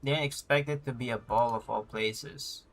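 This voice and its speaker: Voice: mid-range voice, fairly even intonation, normal speed. A livestreamer giving casual, slangy gaming commentary and reacting aloud to the story. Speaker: game livestreamer. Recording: game music behind the voice. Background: game music.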